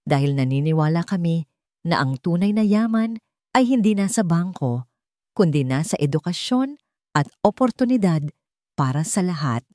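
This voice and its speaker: A woman's voice: lowish voice, moderate pace, dry recording, natural voice. A woman narrator voices a composed, wealthy man speaking formally in an audio drama. He sounds earnest and controlled, in a dry, close recording. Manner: calm, controlled speechmaking. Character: composed, earnest wealthy husband addressing gala guests.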